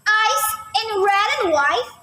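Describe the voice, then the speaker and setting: A woman's voice: very high-pitched, lively intonation, takes it slowly, bright, forceful tone. A woman reading scripted narration as part of a readers theater. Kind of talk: scripted narration, read aloud. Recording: echoey room with clear background noise.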